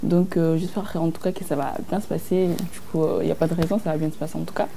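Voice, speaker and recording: Rambling young woman, low dark voice. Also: steady tone, fast, vocal fry, slight background noise. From DR Congo. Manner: rambling, repeating fillers. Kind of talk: reality show confessional to camera.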